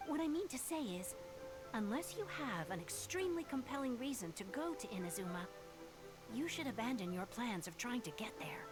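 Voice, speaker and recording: A woman playing an earnest young female refugee in a video game, telling things in a measured, sincere way. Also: on the high side, very animated. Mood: grave. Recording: game music behind the voice.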